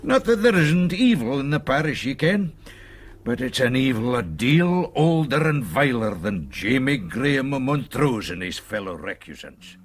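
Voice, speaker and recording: An actor playing a genial, wry older Scottish gentleman scholar in a radio drama, with measured phrasing. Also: medium pitch, sing-song. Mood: wry, confiding.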